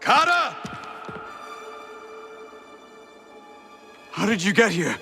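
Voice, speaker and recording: A man's voice: high-pitched, big pitch swings, moderate pace, vocal fry, sharp tone, soft background music, full character voice. An actor playing a desperate, fiercely protective father turned betrayer in a video game, strained and commanding. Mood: alarmed. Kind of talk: dramatic video game cutscene acting.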